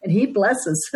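A woman's voice: fairly high pitch, slightly rough. A woman in her sixties from Denver, telling her story earnestly as a podcast guest. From Denver, Colorado. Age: 60s. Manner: earnest storytelling, quick laughs. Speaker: guest, author and Christmas elf. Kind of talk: conversational podcast interview.

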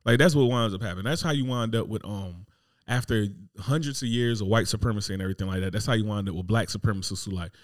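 A man's voice: on the low side, fast talker, no room sound, studio quality. A man talking casually and animatedly in slangy speech, full of rhetorical questions. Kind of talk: casual chat.

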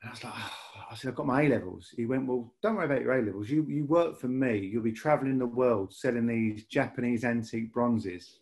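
A man's voice: medium pitch, fairly quick, hardly any pauses, darkish tone. A man with a Lancashire accent, a podcast guest telling his story in a rambling way, with many restarts. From Lancashire, England. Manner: rambling, repeats words and restarts often. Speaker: podcast guest, rail industry manager. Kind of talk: casual podcast interview.

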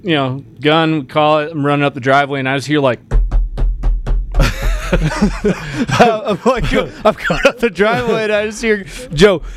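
A man on a talk panel, telling anecdotes in a colloquial, halting way. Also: a little high, faint music behind. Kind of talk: casual chat.

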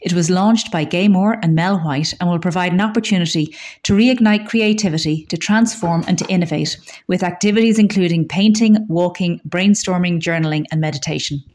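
Lower-pitched Irish woman reading news. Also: fairly quick, a little mellow. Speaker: community radio newsreader. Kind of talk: scripted local news reading.

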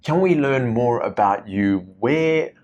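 A man's voice: medium pitch, expressive, slow pace, darkish tone, dull audio. A man co-host politely opening an interview with a guest in an office. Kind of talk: interview questions.

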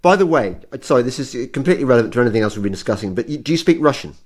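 A man's voice: mid-range voice, expressive, racing, a little mellow. A man hosting a casual interview, asking questions in a hesitant, rambling way. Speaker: interviewer, host.

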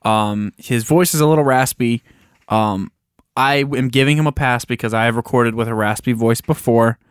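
A man's voice: mid-range voice, normal speed, smooth, no room sound, clean studio sound. A man hosting a podcast, leading a casual chat in an upbeat way with frequent ums. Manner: upbeat, leads the show, lots of ums. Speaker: podcast host.

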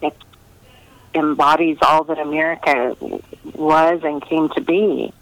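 A middle-aged woman giving earnest, conversational interview answers, pausing often to find her words over a remote line. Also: deep voice, steady tone, low-quality recording. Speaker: interviewed historical novelist.

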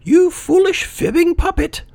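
A man's voice: very high voice, lively intonation, a little slow, vocal fry, darkish tone, dry recording, clean studio sound, pitched above the performer's own voice, exaggerated voice. A man voicing a tiny, nagging storybook cricket with a buzzy voice and a scolding manner. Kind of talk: children's storybook character voice.

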